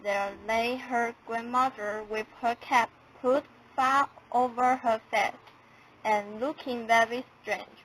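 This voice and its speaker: Young woman reading out a storybook, fairly high voice, little bit of background noise. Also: fairly even intonation, slow, a bit bright, poor audio. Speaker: narrator. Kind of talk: scripted storybook narration.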